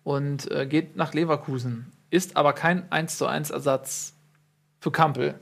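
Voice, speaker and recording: A man with a fairly high speaking voice hosts a casual football chat. He trades ironic jokes and fills his sentences with frequent hesitations. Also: fairly even intonation, measured pace, gravelly, fairly bright tone. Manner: joking, ironic asides, many fillers. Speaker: football podcast host.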